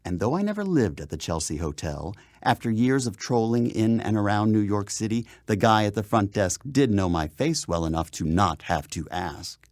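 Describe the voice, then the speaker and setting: A man's voice: on the low side, animated, moderate pace, hardly any pauses, a little mellow, no echo. A man reading his own memoir aloud as a dramatic first-person narration, with theatrical, emphatic phrasing, close on a studio microphone. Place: voiceover studio.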